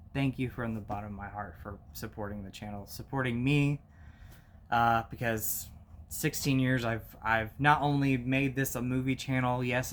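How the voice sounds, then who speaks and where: Slow, halting man in his thirties. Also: mid-range voice, a bit bright, slight background noise. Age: 30s. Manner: halting, many fillers and restarts, heartfelt. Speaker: movie collector YouTuber. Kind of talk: casual personal vlog talk.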